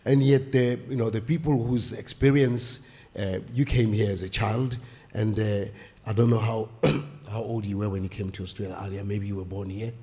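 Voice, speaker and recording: Older man speaking haltingly on a panel. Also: on the low side, steady tone, mellow. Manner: halting, searching, with many fillers. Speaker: panel guest, author. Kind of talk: panel discussion. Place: stage of a small indoor venue. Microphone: stand mic.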